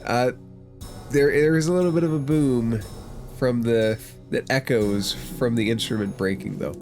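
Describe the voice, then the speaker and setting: A man's voice: medium pitch, normal speed, over music. A man running a tabletop game as game master, often restarting and repeating words as he narrates.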